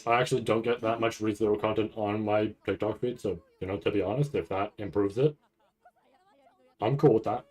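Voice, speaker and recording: A man giving casual, rambling live commentary as a streamer, halting and restarting often as he thinks aloud. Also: lowish voice, steady tone, darkish tone. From Canada. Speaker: streamer reacting to a live anime event.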